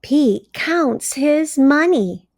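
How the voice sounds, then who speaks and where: Slow, high-voiced woman reading to children. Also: expressive, darkish tone, no room sound, studio quality. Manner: deliberate, simply phrased delivery for young children. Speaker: read-aloud storyteller for early readers. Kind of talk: children's picture-book read-aloud with questions to listeners.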